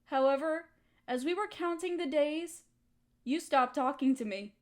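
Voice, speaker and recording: A woman's voice: sits high, a little slow. A woman playing a hurt, resentful young woman confronting her roommate in an emotional, accusatory audio roleplay monologue. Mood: bitter, reminiscing. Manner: emotional, accusatory, pointed rhetorical questions.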